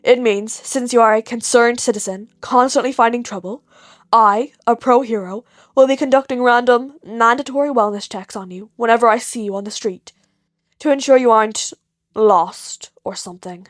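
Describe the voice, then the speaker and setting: A woman voicing a gruff, dry, annoyed grown man, a stern hero, in a deadpan, slow manner for an audio story. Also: fairly high pitch, dry recording, lightly acted. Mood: smug, stern.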